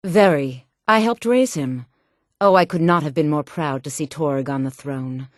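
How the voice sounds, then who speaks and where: An actress voices a self-assured, sultry woman from a fantasy video game. Her voice sits low and is recorded very dry. She speaks with measured poise and a haughty edge, like someone used to being obeyed. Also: no echo, close to an everyday voice. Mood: grave, regretful. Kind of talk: video game character dialogue.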